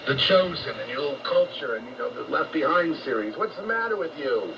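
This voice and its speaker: An American man preaching on shortwave radio in a blunt, confrontational, profane rant, halting and repeating himself. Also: a little high, lively intonation, vocal fry. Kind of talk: unscripted ranting sermon. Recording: noisy muffled shortwave radio broadcast.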